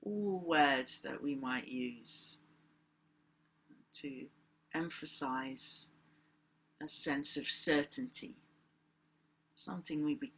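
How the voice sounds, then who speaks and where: A woman leading a guided meditation as a teacher, speaking in gentle, deliberate phrases with long pauses. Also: low-pitched, husky, low-quality recording. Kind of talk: guided meditation, unscripted spoken instruction.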